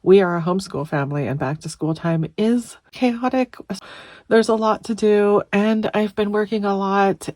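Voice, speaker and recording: A woman in her thirties talks through her weekly budget in a casual, rambling way. She sounds exhausted and a little stuffed up. Her voice sits at an ordinary middle pitch, and the recording is close and dry with no room sound. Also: a little mellow, no echo. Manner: tired, rambling, chatty. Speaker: budgeting vlogger. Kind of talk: casual chat to camera while budgeting.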